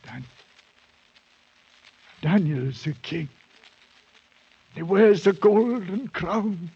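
A man in a 1940s radio drama playing a broken, half-mad adventurer, rambling and halting in rough uneducated speech with a wavering voice. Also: sits high, expressive, heavily acted. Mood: crazed, broken. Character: broken, half-mad ragged British adventurer back from captivity. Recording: noisy, dull 1940s radio recording.

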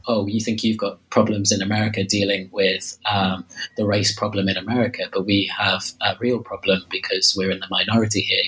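Low, flat-voiced man with a cold. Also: rough recording. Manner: measured, many fillers and restarts. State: slight cold, losing his voice. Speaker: author interviewed about his book. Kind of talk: academic interview, thoughtful explanation.

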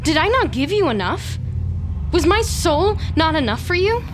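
A woman's voice: very high-pitched, very animated, normal speed, noisy background. A woman playing a defiant, agitated office team leader with secrets in an audio drama, stammering and speaking dramatically. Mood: wounded, indignant. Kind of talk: audio fiction acting with confiding monologue. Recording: music bed behind the voice.

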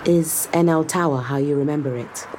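A woman's voice: sits low, moderate pace, mellow, slight background noise, close to an everyday voice, rough recording. A woman playing a poised, guarded corporate executive in an audio drama, speaking formally and with control. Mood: cool, dry. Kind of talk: scripted audio drama acting.

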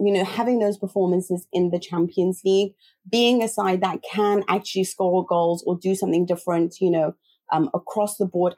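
A woman's voice: on the low side, mellow, slightly rough. A woman co-hosting a football chat, talking casually and often adding "you know". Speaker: football podcast co-host.

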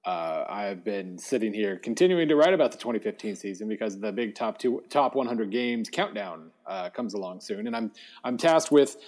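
A man co-hosting a sports podcast in a casual, halting, self-deprecating way, his voice slightly hoarse. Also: on the low side, expressive, darkish tone. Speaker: sports podcast host and writer. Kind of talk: casual football podcast chat.

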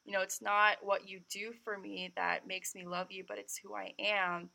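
Young woman with a gravelly, bright voice. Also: mid-range voice, little pitch movement, moderate pace. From California. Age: young adult. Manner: chatty, sprinkled with "like". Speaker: guest missionary. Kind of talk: casual personal testimony.

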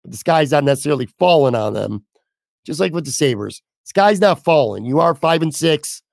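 Hoarse man. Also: on the high side, lively intonation, normal speed, a little mellow, no room sound, clean studio sound. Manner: candid, blunt, casual with mild swearing. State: losing his voice, hoarse. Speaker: podcast host. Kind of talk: solo sports talk podcast.

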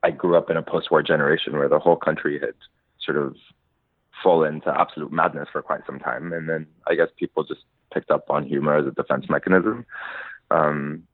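A man from Lebanon speaks in a very deep voice, weighing his words and laughing lightly through his stories. His voice comes over a dry, narrow phone-like line. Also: fairly even intonation, fairly quick, dry recording. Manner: thoughtful, laughs while telling stories. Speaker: musician guest. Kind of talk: conversational interview.

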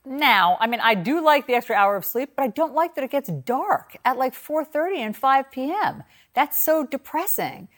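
A woman's voice: high voice, very animated, normal speed, few pauses, a bit bright. A woman with an American accent co-hosting a television news programme, mixing presenting with light chat. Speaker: TV news co-host.

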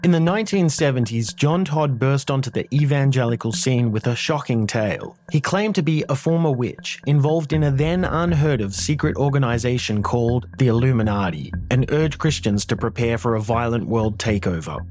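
A man with a medium-pitched voice reads a scripted documentary promo as its announcer. Light music plays underneath. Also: animated, normal speed, few pauses, darkish tone. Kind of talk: scripted documentary trailer narration.

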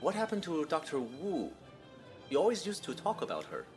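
A man's voice: fairly high pitch, huge pitch swings, moderate pace, darkish tone, faint music behind. A man playing a genial, proud father in his fifties in a naturalistic audio drama, wry and courteous. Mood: interested.

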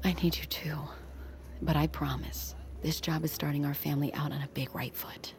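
Frank, wry submersible pilot. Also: female voice, sits low, fairly even intonation, moderate pace, vocal fry. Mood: tender, earnest. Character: determined, wry adult woman submersible pilot. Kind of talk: naturalistic audio drama acting.

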